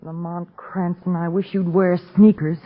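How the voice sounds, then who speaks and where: A woman's voice: on the low side, level tone, unhurried, muffled recording. An American woman playing a pert, teasing detective's companion in 1930s radio drama, with arch, bantering delivery. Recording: thin, band-limited 1930s radio recording.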